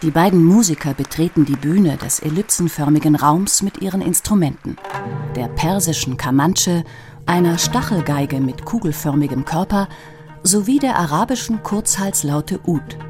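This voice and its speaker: A woman reading a scripted documentary narration in a measured, even way. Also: sits low, music in the background, crowd noise.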